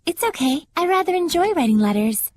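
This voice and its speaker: An actress playing a gentle, caring female spirit companion, polite and expressive, in a fantasy game scene. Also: very high-pitched, fairly quick, no echo, clean studio sound. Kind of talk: dubbed fantasy game cutscene acting.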